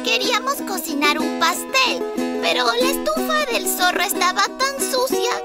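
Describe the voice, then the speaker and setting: A woman playing a naive, excitable small child in a dubbed children's cartoon, earnest and innocent. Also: very high voice, moderate pace. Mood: earnest chatter. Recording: loud music behind the voice.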